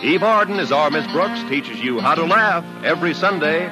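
An animated male announcer with a mid-pitched voice in the style of 1950s network radio. He reads his script with polish and emphasis, with music clearly playing behind him. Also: normal speed, low-quality recording. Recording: vintage radio broadcast with music.

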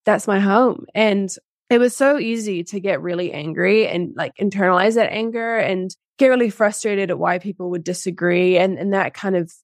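A woman's voice: mid-range voice, moderate pace, dry recording, studio quality. A woman in her twenties who grew up in Dubai, a guest co-author talking casually in an interview, searching for her words with many fillers and restarts.

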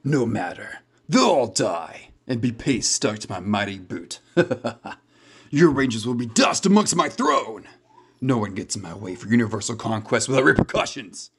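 A man voicing a cruel, boastful villain overlord with a raspy, menacing delivery in a superhero audio drama. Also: fairly low pitch, lively intonation, normal speed, voice pushed up. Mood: gloating menace. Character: furious cruel villain overlord bent on universal conquest.

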